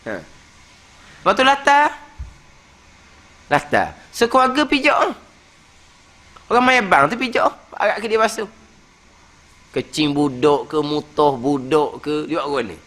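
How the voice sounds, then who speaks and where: A man, a religious teacher giving a weekly study lecture in a prayer hall, talking in a lively, conversational way with frequent "ha" fillers. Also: fairly high pitch, unhurried, pauses often.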